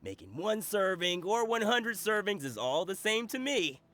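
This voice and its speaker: A man's voice: high-pitched, sing-song, moderate pace, sharp tone, heavily acted. A man voicing a friendly, generous middle-aged merchant who is jovial and laughs easily. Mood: genial. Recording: game dialogue with music behind.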